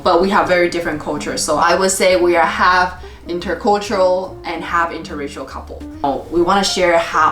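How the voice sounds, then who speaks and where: A woman's voice: on the low side, fairly bright tone. Cheerful woman, roomy echo. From Taiwan. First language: Mandarin. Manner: cheerful, laughs often. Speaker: dating vlog host. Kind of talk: casual chat to camera. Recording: roomy echo, faint music.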